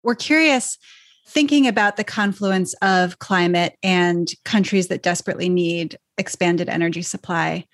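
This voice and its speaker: A woman co-host asking a curious, clearly framed interview question. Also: fairly low pitch, normal speed, no room sound, clean studio sound. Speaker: podcast co-host.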